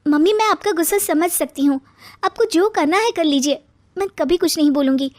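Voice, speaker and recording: A woman voicing a patient, gentle young daughter-in-law in a moral story, speaking earnestly and respectfully. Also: very high-pitched, a little fast, dry recording, pitched above the performer's own voice. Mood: pleading. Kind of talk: acted moral story dialogue.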